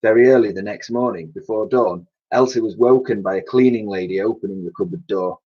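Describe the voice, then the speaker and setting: A man reading a children's story aloud as a teacher would, in an animated narrating style. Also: on the low side, fairly quick, breathy edge. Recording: dull lo-fi recording.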